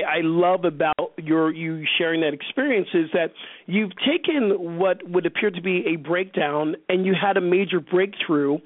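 A man with a distinctly high voice hosts a conversational interview through narrow, phone-like audio. The sound is dry, and he speaks emphatically, affirming his guest and repeating key phrases. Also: no echo. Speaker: interview show host.

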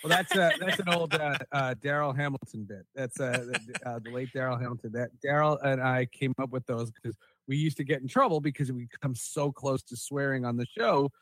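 A man's voice: medium pitch, a little fast, hardly any pauses, fairly bright tone, dry recording. Casual male podcast host, dry close sound. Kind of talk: casual chat.

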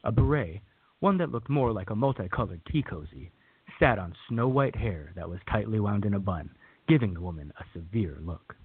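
Male narrator for radio theater, lowish and husky, scripted read, close and dry. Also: expressive, moderate pace, no room sound. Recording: tinny, phone-like narrow band.

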